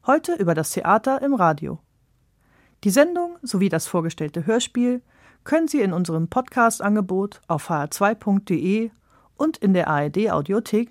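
A woman's voice: medium pitch, dry recording, studio quality. A woman presenting a radio programme with a polished scripted delivery.

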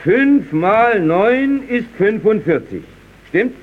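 An actor playing a polite but exasperated neighbour who instructs emphatically in a 1930s German radio comedy. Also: male voice, high-pitched, big pitch swings, takes it slowly, full character voice. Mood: polite, instructive. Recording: dull, noisy 1930s radio recording.

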